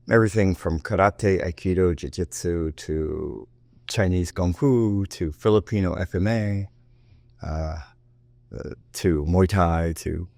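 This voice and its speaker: Deep-voiced man in his fifties, rambling. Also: creaky, darkish tone, no room sound. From United States, raised in Japan. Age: 50s. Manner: rambling with frequent restarts and self-interrupting asides. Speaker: martial arts teacher and guest. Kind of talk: relaxed interview talk.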